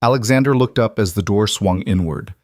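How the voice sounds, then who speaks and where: A man reading a dramatic story as its narrator, in a low, steady voice. The recording is dry and close, with no room around it. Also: fairly quick, dry recording.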